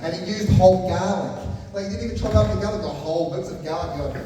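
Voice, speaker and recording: A man's voice: sits high, quick pace. A man hosting a comedy night. He teases the audience in chatty banter and stumbles over repeated words. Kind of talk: live stand-up MC banter with the crowd. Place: large hall at a live comedy night. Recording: echoey hall from far off, crowd noise. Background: audience in the room.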